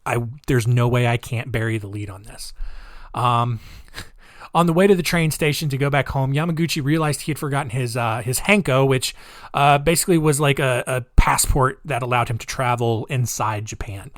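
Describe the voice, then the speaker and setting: A man hosting a casual storytelling podcast, chatty and animated, talking straight to his listeners as guys with lots of asides, moving between loud emphasis and quiet remarks. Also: mid-range voice, moderate pace, no room sound, studio quality. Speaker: podcast host.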